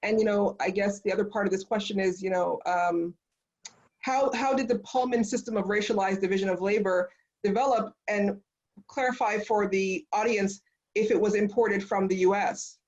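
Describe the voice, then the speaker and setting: A woman's voice: mid-range voice, moderate pace, a little mellow. A woman moderating a panel discussion in a conversational, affirming way, passing questions along to the speakers. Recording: dull, lo-fi audio.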